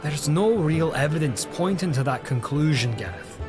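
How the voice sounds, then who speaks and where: An actor playing a practical, questioning knight in an audio drama. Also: male voice, on the high side, lively intonation, moderate pace, over music, close to an everyday voice. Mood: sceptical.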